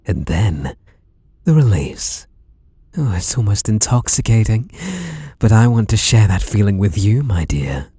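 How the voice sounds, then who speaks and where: A man's voice: lowish voice, very animated, measured pace, mellow, slightly rough, no room sound, heavily acted. A British man playing a sadistic, obsessive masked killer in an ASMR roleplay, teasing and menacing with mock tenderness and whispered words. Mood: gloating, possessive.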